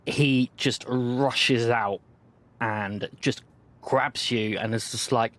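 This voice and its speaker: A man in a mid-range voice runs a tabletop game, narrating scenes at an easy, measured pace. He pauses with small fillers as he sets each scene. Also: mid-range voice, steady tone, a bit bright. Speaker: game master.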